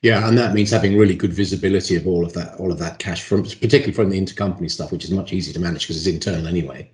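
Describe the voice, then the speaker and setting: An echoey recording of a male interviewer with a low voice. He talks quickly and conversationally as he frames his questions in a business interview. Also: steady tone, a little mellow. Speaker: interviewer, host. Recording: roomy, echoing voice pickup.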